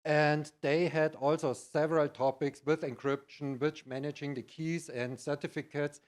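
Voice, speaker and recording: A middle-aged or older man with a Bavarian German accent, giving a conference presentation in halting, non-native English. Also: fairly high pitch, little pitch movement. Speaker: conference speaker, IT practitioner.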